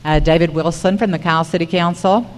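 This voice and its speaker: A woman's voice: fairly low pitch, fairly even intonation, fast. Woman moderator in an echoing hall. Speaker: forum moderator. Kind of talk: moderating a candidate forum. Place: public forum room at a school. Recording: reverberant hall, noticeable background noise.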